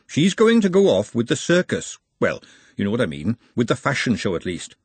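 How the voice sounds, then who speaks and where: A man voicing a blunt, bossy but caring working-class woman in a storybook, brisk and scolding. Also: mid-range voice, wildly swooping pitch, breathy edge, no room sound.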